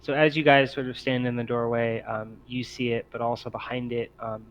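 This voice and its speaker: A man narrating an improvised tabletop game as game master, feeling his way through descriptions in halting phrases. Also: medium pitch, flat delivery, lo-fi sound.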